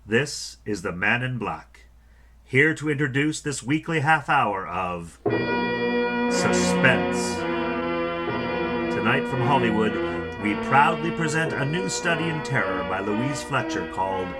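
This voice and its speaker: A man playing an ominous host of a vintage radio suspense show, speaking portentously with dramatic pauses over music. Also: medium pitch, expressive. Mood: ominous, grave. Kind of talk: 1940s-style radio narration, theatrical.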